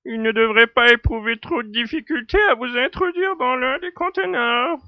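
An actor playing a jovial alien native in a science-fiction audio drama, explaining a cunning plan in measured phrases. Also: medium pitch, lightly acted. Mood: confident, explaining. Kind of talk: French amateur sci-fi audio drama acting. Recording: dull, roomy, radio-like recording.

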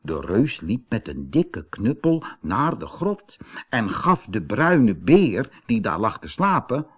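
A man narrating a scripted fairy tale for an audiobook in an expressive, dramatic storytelling manner, with a husky voice. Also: on the low side, slow pace.

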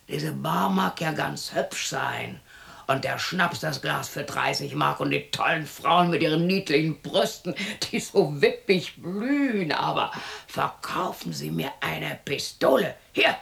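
An actress playing a lonely, bitter widow of sixty in a radio play, talking to herself in a husky, creaky voice that often drops to a whisper, scolding and questioning herself. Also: female voice, sits low, takes it slowly, fairly bright tone, full character voice. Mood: insistent. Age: 60s. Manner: talks to herself, scolding and questioning, abrupt shifts.

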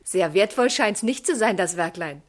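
An actress playing a gentle young lady in a German radio drama, fond and softly admonishing, with a husky, genteel voice. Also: medium pitch, expressive, moderate pace, lightly acted. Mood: teasing, kind. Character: gentle young woman, fond, chiding, composed.

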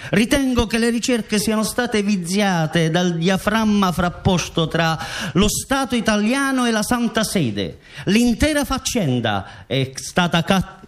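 Radio play role: stern male official, high-pitched, formal and deliberate , acted by a man. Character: stern Italian public official making formal statement. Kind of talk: radio drama acted statement.

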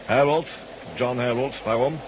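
A man's voice: medium pitch, measured pace, lightly acted. An actor playing a self-assured, devout henchman of a false god in a science-fiction radio drama. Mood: smug. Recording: noisy old radio recording.

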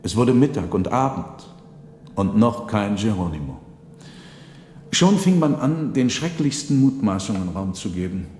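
Radio drama: an eloquent trickster conjurer, deliberate, formal storytelling, set in a reverberant echoing space. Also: male voice, fairly low pitch, expressive, a little mellow, a little hiss or hum. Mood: grave, suspenseful. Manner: measured, dramatic, formal diction. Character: theatrical trickster conjurer recounting his tale, deliberate and eloquent. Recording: echoey reverberant hall sound.

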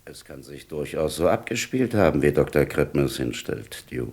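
A gruff senior cop boss in a radio play, husky and gravelly, calm authority, lively intonation. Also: male voice, extremely low pitch, moderate pace, exaggerated voice. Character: unhurried, skeptical senior police chief, authoritative. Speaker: actor. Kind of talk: German radio play dialogue.

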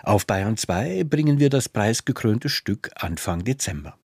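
A man's voice: lowish voice, expressive, a little slow, very noisy. A man presenting radio plays in scripted narration.

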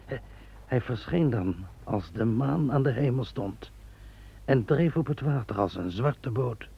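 A man playing a gruff, blunt keeper. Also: medium pitch, expressive, unhurried, heavily acted. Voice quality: gravelly, husky. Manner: blunt, dismissive. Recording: dull lo-fi recording.

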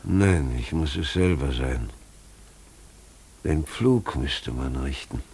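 A man's voice: very deep voice, expressive, slow, darkish tone, slightly rough, heavily acted. An actor playing a brooding middle-aged farmer and father in a German radio play, ponderous, uneasy and searching.

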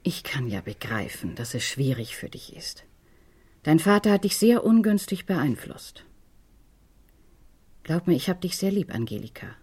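Low, husky, insistent mother. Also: very animated, a little slow, pauses often, darkish tone, heavily acted. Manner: insistent, controlled. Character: firm, possessive mother pleading with her resistant daughter. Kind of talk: German radio crime drama acting.

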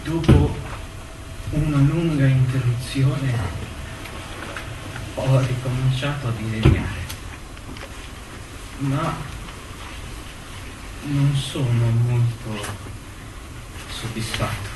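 An actor playing a subdued, wistful man who voices a private letter in halting, measured phrases for radio theatre. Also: mid-range voice, steady tone. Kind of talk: dramatic letter reading. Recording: distant, echoing, noisy stage ambience.

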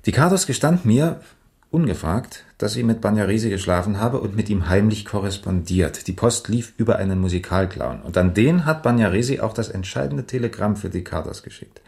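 An actor playing a calm, methodical police major in a radio drama, measured and matter-of-fact with a dry weariness. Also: male voice, on the low side, creaky. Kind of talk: 1980s German radio drama acting.

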